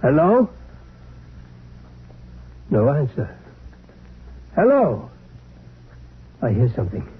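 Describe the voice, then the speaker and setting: An actor playing an excitable, earnest male scientist on a space crew in a radio drama. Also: medium pitch, takes it slowly, pauses often, slightly rough, full character voice. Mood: bewildered. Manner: earnest exclamations. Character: male scientist crewman, astonished, excitable, proper. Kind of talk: old-time radio drama acting. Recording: tinny, noisy old radio recording.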